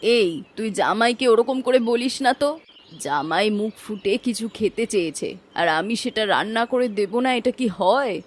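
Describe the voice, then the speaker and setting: A woman's voice: fairly high pitch, lively intonation, normal speed. A woman voicing a doting middle-aged mother-in-law in a cartoon, affectionate and coaxing as she fusses hospitably. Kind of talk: Bengali cartoon dialogue dub.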